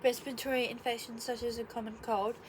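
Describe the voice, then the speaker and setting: A woman's voice: fairly high pitch, level tone, fast. Congested young woman rambling about her day. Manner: rambling, self-correcting, sarcastic asides. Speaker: vlogger. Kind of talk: casual explainer vlog. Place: her bedroom. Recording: noticeable room noise.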